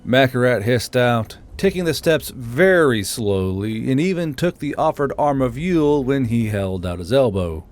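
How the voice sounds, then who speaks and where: A man's voice: medium pitch, animated, normal speed, few pauses. A man reading scripted fiction as an audiobook narrator.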